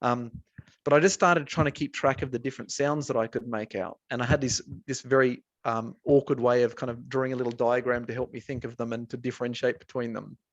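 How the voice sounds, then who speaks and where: A man's voice: mid-range voice, fairly even intonation, a little fast, no echo. Hesitant man on a dull dry line. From Australia. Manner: hesitant, many restarts and fillers. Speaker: researcher. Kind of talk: casual webinar conversation. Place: indoor office building.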